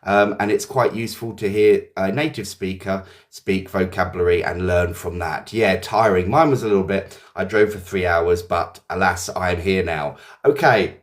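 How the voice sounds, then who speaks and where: An English man runs a live-streamed English class. His voice sits slightly low, and he stays cheerful and chatty, greeting viewers one by one. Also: fairly even intonation, moderate pace, few pauses. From England. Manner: upbeat, greets viewers by name. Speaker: English teacher.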